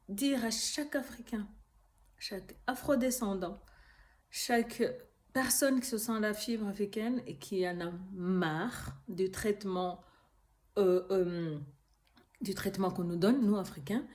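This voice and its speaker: A woman, a Kinyarwanda speaker, hosting her own channel and talking earnestly to camera, pausing often with fillers. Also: medium pitch, a little mellow. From Rwanda. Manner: earnest, hesitant with frequent fillers. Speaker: YouTube channel host. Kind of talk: casual talk to camera.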